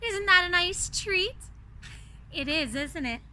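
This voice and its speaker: A woman reading a storybook in an animated, gushing way, coaxing young listeners with direct asides. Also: very high-pitched, slow, low-quality recording. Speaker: children's storyteller, reader in a princess character.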